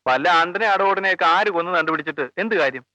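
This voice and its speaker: A man's voice: sits high, fast, sharp tone, no echo, lo-fi sound. A man playing a teasing, joking friend of a police officer in a 1980s film drama. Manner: teasing, playful.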